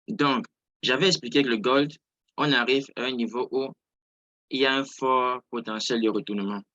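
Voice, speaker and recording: A man with a bright, forceful voice teaches informally in a live online session. He is a non-native French speaker and often restates his words for emphasis. The sound is close and dry. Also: medium pitch, steady tone, normal speed, no room sound, muffled recording. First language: not French. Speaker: trading instructor. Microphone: close mic on an online video call.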